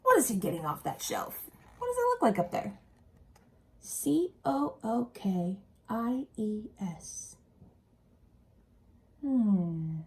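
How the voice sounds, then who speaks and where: Woman, higher-pitched and slow-paced, for an animated children's read-aloud with simple questions to young listeners. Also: slightly rough. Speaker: early childhood educator reading aloud. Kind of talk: expressive picture-book read-aloud with asides to young children.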